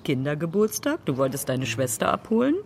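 A woman's voice: deep voice, sing-song, fairly quick, vocal fry, exaggerated voice. A middle-aged mother in a radio play, weary and dry with sarcasm. Her intonation moves widely up and down. Faint noise sits behind the voice. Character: weary, sardonic mother of two children. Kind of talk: naturalistic radio play acting.

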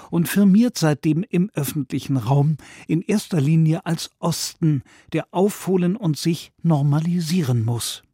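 A man reads quoted passages for a radio review in an emphatic, deliberate way. His voice sits fairly high, with a husky, mellow tone. The studio sound is dry. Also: dry recording. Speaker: radio reader voicing quoted book passages. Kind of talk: dramatic reading of quotations.

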